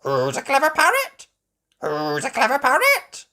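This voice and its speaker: Shrill squawking storybook parrot. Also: female voice, huge pitch swings, measured pace, pushed and bright, exaggerated voice. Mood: mimicking. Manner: mimicking, repetitive. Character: squawking female pet parrot, copying what people say.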